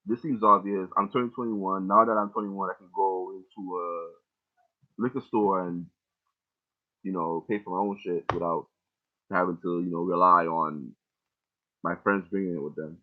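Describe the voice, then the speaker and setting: Low-voiced young man on tinny audio. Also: fairly even intonation, moderate pace. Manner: loose, rambling, heavy casual profanity. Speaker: rapper explaining his lyrics. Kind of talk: casual line-by-line lyric breakdown.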